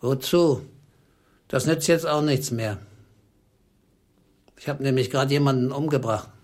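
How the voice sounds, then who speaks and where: A man's voice: medium pitch, a little slow, no echo, natural voice. Man acting a brooding, henpecked clerk, close and dry. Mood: agitated, boastful. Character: henpecked, embittered small office clerk husband narrating his marriage. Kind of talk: radio play, dramatic first-person narration and dialogue.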